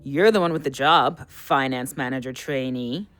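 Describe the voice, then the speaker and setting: A man's voice: medium pitch, normal speed, a bit bright, no echo, close to an everyday voice. A man playing a bitter, broke husband and father in a naturalistic audio drama, sullen and sardonic. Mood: defensive, bitter.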